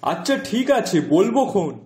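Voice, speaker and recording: Man acting high-voiced, mild village farmer. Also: lively intonation, normal speed, darkish tone, reverberant, a little hiss or hum. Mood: curious, agreeable. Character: mild henpecked village farmer, husband and father. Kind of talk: Bengali moral-story cartoon voice acting.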